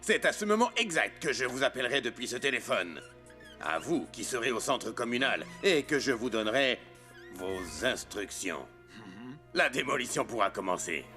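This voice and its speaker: A man's voice: mid-range voice, sharp tone. An actor playing a scheming villain boss in a French cartoon dub, commanding and menacing as he gloats.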